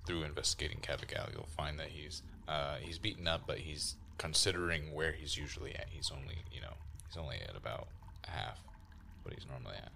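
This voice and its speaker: A man chatting as a player in a tabletop role-playing game, halting and understated, over background noise. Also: extremely low pitch, fairly even intonation, sharp tone. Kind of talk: casual in-game chat. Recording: constant clear background noise.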